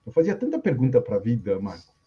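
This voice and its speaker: A man's voice: medium pitch, wildly swooping pitch, fairly quick, warm tone, highs cut off, a little hiss or hum. A man in his fifties, a coach being interviewed, telling his story in an easygoing way with fillers. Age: 50s. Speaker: guest coach. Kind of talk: casual interview on a live stream.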